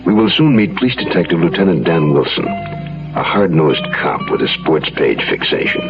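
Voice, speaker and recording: A man's voice: sits low, sing-song, moderate pace, vocal fry, over music. A man doing dramatic scripted narration and announcements for a radio drama. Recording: muffled old radio broadcast.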